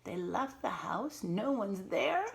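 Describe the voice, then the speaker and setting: A woman teacher reading a picture book aloud to young children, pausing to explain words and ask simple questions in an instructive way. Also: medium pitch, lively intonation, gravelly, slight background noise.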